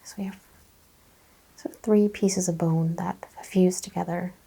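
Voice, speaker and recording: A woman speaks softly and gently as a chiropractor in an ASMR roleplay, often dropping to a whisper. Her voice is creaky and mellow, with a breathy edge. She asks and explains in short, unhurried phrases. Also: fairly low pitch, fairly even intonation. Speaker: ASMR host playing a chiropractor. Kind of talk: ASMR roleplay, soft-spoken exam.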